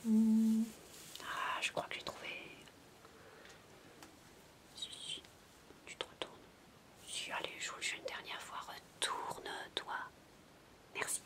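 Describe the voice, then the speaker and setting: Casting: woman to play a madcap babysitter for soft ASMR roleplay, whispery, unhurried, higher-pitched, coaxing and chatty with a small child. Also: completely flat delivery. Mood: playful coaxing. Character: zany playful babysitter entertaining a six-year-old child.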